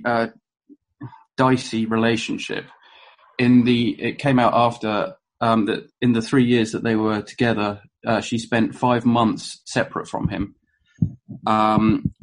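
A man's voice: on the low side, slight background noise, rough recording. A man giving an informal history talk to a room, full of ums and uhs, self-correcting and dropping wry asides. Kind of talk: informal spoken presentation to a live audience.

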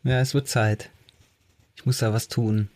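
A man's voice: medium pitch, little pitch movement, a little slow, a little mellow. A man co-hosting a podcast in casual chat, speaking in an amused, self-deprecating way. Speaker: podcast co-host.

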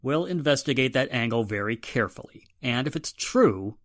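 A man playing a businesslike police inspector in 1940s radio drama style, brisk and matter-of-fact. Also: medium pitch, lively intonation, dry recording, studio quality, lightly acted.

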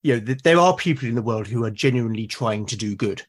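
A man's voice: medium pitch, lively intonation, fast talker. A man from Britain speaking as a guest in casual conversation, agreeable and hesitant, repeating words as he thinks. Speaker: podcast guest. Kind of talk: casual conversation about roleplaying games.

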